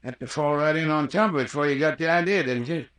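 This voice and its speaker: A man's voice: on the high side, fast, fairly bright tone, natural voice. Folksy, wry old-radio country doctor played by a man. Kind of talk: 1940s radio horror acting.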